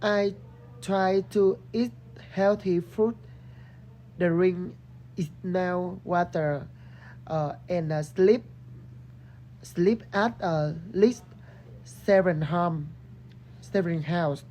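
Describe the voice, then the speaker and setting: A young woman from Vietnam with a Vietnamese accent, giving a halting student presentation in English as a second language, often restarting words. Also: fairly low pitch, warm tone, low-quality recording. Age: young adult. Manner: hesitant, broken word starts. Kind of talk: halting rehearsed English class presentation and interview.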